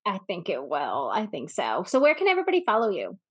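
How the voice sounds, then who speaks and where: A woman's voice: fairly low pitch, huge pitch swings, fast, husky. A woman hosting a podcast, chatting enthusiastically with a guest and laughing often. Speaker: podcast host. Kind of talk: casual podcast interview.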